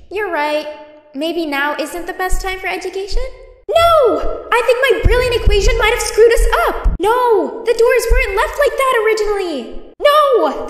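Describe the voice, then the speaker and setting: An actress voicing a bossy, excitable female creature in a game, chatty and quick to shriek in alarm. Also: extremely high pitch, far from the mic. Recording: echoey, reverberant game audio.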